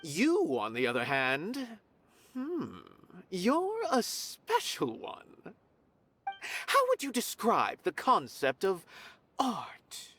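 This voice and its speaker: An actor playing an aloof, condescending observer in a theater who muses slowly about art. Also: male voice, sits high, huge pitch swings, pushed and bright, full character voice. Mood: musing. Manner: slow, musing, haughty. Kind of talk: game voice acting.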